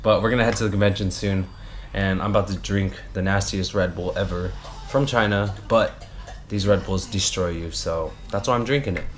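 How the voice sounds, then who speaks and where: A man's voice: low voice, normal speed, over music. A man in his twenties chatting casually to his vlog in a laid-back, slangy way, recorded on a handheld action camera. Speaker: vlogger for a vape brand team. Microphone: action camera at arm's length. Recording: noisy action-camera audio with ambient hum.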